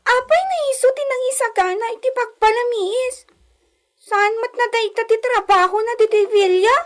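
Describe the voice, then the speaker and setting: A woman's voice: very high-pitched, moderate pace. A woman voicing a spoiled, haughty young rich daughter in a radio comedy drama, whiny and demanding. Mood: petulant.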